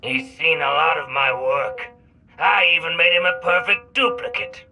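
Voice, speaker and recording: An actor playing an embittered, indignant older forger with a grudge, menacing and boastful, in a sci-fi video game. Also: male voice, a little high, moderate pace, gravelly, poor audio. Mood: angry, boastful. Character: embittered, grudge-bearing retired male forger in hiding. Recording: very loud music behind.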